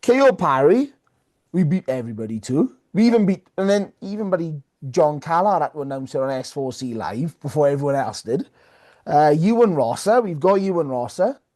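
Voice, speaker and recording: A man's voice: on the high side, very animated, moderate pace, mellow. A man co-hosting a sports podcast, chatting casually about rugby.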